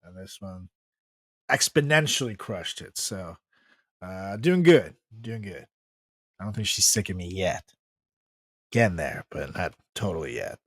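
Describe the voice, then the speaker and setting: A man's voice: low voice, animated, a little slow, pauses often, breathy edge, no echo, studio quality. A man co-hosting a podcast in casual chat, joking and laughing often as he hypes the show. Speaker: podcast co-host.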